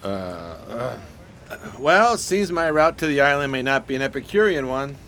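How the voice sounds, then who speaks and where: Man playing a grandiose, animated genteel vagrant schemer. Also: mid-range voice, normal speed, slight background noise. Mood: polite, resolute. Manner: pompous, florid, formal diction. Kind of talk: radio drama acting.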